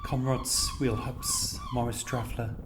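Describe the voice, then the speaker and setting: A man reading poetic scripted narration in measured, deliberate phrases. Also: medium pitch, huge pitch swings, reverberant, background noise.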